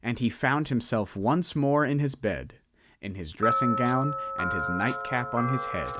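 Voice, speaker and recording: A man narrating a radio drama in an expressive storytelling manner. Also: mid-range voice, a little slow, faint music behind. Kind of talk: scripted narration.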